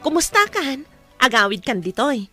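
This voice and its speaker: Casting: lively Filipina confidante, teasing, high-pitched, faint music behind, radio drama. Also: female voice, normal speed. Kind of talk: Ilocano radio drama acting.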